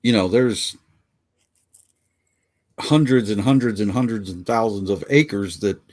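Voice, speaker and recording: A man's voice: fairly low pitch, a little slow, a little mellow. Rambling, joking man. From Pennsylvania, US. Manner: rambling and joking, fumbles over notes. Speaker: podcast co-host. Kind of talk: casual podcast chat.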